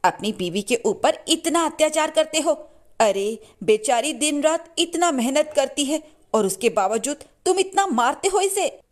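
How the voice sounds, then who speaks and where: A woman voicing a bold middle-aged neighbour aunty in a cartoon story, scolding with sharp indignation. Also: sits high, animated, a little slow, voice pushed up. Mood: outraged.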